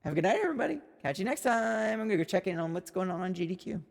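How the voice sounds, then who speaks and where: A woman with a slightly raspy voice, streaming a story game, reading its text aloud and chatting casually with some swearing. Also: mid-range voice, animated, very fast. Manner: chatty, swearing, laughing, trailing off. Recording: music playing in the background.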